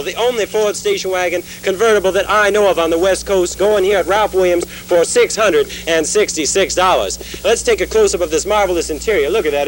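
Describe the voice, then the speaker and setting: A man's voice: on the high side, lightly acted. A man playing a rambling used-car salesman in a parody television commercial, with asides and stumbles. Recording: noisy amateur 1960s newsreel recording.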